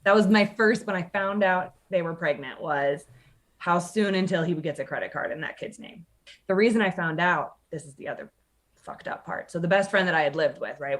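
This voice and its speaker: A woman telling a personal story casually as a podcast guest, candid, sardonic and sweary, acting out the dialogue she quotes. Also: fairly low pitch.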